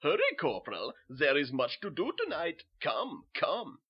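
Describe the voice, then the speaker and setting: A husky, slow-spoken German master , acted by a man urges and orders in a horror tale. His put-on German accent swings dramatically up and down, sly and falsely jovial, yet imperious. The recording is dull and lo-fi. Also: on the high side, wildly swooping pitch, full character voice. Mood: urging, commanding. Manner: coaxing, insistent, imperious. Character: sly, commanding male German butcher and officer, falsely jovial. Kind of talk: theatrical horror story acting.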